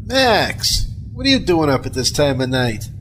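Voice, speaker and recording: A man's voice: fairly high pitch, sing-song, a little slow, a little hiss or hum. A man playing a wry spaceship pilot in a science fiction audio drama, with informal talk and clipped commands. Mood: uneasy, wry. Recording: loud music behind the voice.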